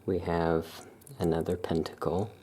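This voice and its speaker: An ASMR performer speaking softly and calmly in the role of a witch shopkeeper, explaining items in an unhurried way. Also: low-pitched, a little hiss or hum. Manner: soft-spoken, calm, unhurried explaining. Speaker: witch shop keeper presenting amulets. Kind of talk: ASMR soft-spoken shopkeeper roleplay.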